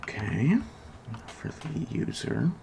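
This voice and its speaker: A soft-spoken ASMR performer in a repair roleplay as a computer technician, murmuring haltingly while absorbed in the task. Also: medium pitch, animated. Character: unhurried computer technician repairing a robotic client. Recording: loud fan noise, home recording. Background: PC fan, keyboard typing, clicks, creaking chair.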